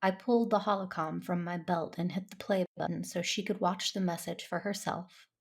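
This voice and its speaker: Woman as a creaky-voiced, reserved Jedi narrator. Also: lowish voice, fairly even intonation, moderate pace. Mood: serious, troubled. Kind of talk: first-person audio drama narration and dialogue.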